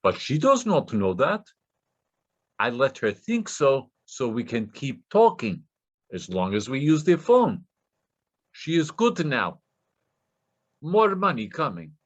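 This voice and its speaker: An actor playing a desperate, nervous Russian scammer with a Russian accent, stammering deferentially in broken English in a play reading. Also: male voice, medium pitch, wildly swooping pitch, takes it slowly, long pauses, lo-fi sound, exaggerated voice. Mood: anxious, reassuring.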